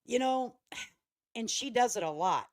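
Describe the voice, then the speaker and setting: Female reaction streamer, casual and folksy, mocking delivery with very lively intonation. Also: fairly high pitch, takes it slowly. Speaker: livestream host. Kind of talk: reaction livestream commentary, casual chat.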